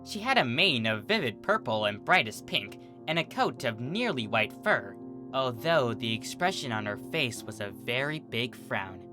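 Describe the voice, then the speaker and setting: Low, lively woman storyteller. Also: moderate pace, over music. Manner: expressive, dramatic read-aloud. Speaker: fanfiction reader. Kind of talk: storybook narration.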